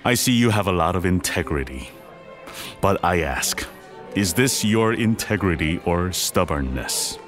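Dignified older male tycoon, deep voice, formal, measured, gracious, podcast drama, light music underneath. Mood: gently probing. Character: dignified, wealthy older Korean patriarch and businessman. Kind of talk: romcom podcast audio drama acting.

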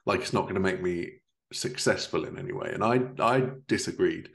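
Low, creaky-voiced man hosting a podcast. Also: brisk, fairly bright tone. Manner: affirming, informal. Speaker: podcast host. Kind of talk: casual interview chat. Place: living room at home, indoors, video call.

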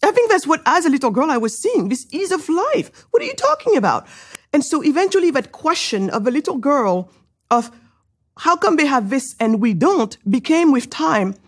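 A middle-aged woman from Senegal, an entrepreneur, telling her life story in an interview with lively, rising excitement and many fillers. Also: moderate pace. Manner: animated, many fillers, rises high when excited. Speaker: guest entrepreneur.

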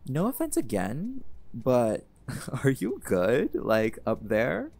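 A man's voice: medium pitch, huge pitch swings, slow pace, vocal fry, a little mellow, exaggerated voice. An actor voicing a laid-back, teasing male jaguar shifter in an audio roleplay, blunt and flirtatious, with music playing behind.

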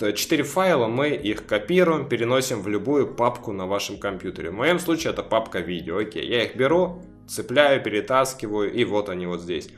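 A man casually talking viewers step by step through installing a game mod, a little hoarse from a cough. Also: lowish voice. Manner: chatty, talks viewers through each step. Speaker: gaming video creator. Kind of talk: casual screen-recorded mod tutorial.

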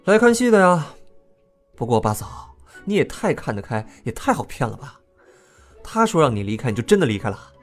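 Man acting a young prince, animated pitch, playful, teasing, breezy, audio drama. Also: fairly quick, faint music behind.

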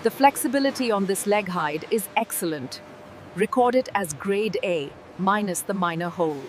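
A woman's voice: mid-range voice, animated, normal speed, a little hiss or hum. A woman factory worker giving instructions in scripted dialogue.

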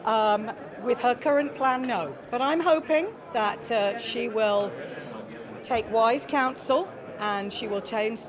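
A woman's voice: fairly high pitch, slow, crowd noise. A woman, a party member stopped for an interview, answering in a hesitant, earnest way.

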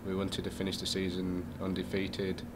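A young man answers casually into a desk mic at a post-game press conference. His voice sits very low and stays flat, and a clear hiss runs behind it. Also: moderate pace. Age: young adult. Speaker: player. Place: small press room. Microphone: desk mic on stand.

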